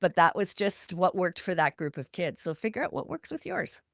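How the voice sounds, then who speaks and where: A woman's voice: fairly low pitch, very animated, normal speed, vocal fry, dry recording, clean studio sound. A woman hosting a podcast, sharing anecdotes and advice in a conversational way. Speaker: podcast host.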